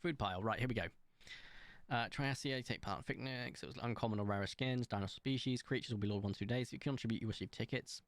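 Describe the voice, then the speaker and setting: A man streaming a game, chatting casually in a calm, smooth voice as he thinks aloud and rambles with fillers. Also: medium pitch, fast, no room sound. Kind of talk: casual gaming livestream chat.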